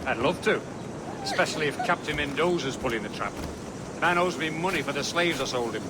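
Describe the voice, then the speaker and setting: A man's voice: a little high, lively intonation, brisk, very noisy, natural voice. A man playing a haughty, cold-blooded slave trader in a video game, imperious and sardonic. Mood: sardonic relish. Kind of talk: video game dialogue acting. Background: loud music.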